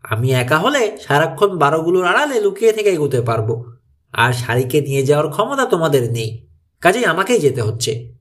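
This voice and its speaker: A man's voice: a little high, sing-song, brisk, fairly bright tone. A man voicing a clever, confident teenage boy detective in an audiobook, reasoning and explaining decisively. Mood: firm.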